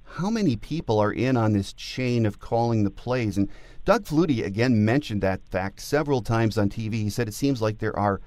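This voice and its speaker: A man's voice: fairly low pitch, animated, normal speed, darkish tone, no room sound. A man with an American accent interviewing a guest as host of a sports talk podcast.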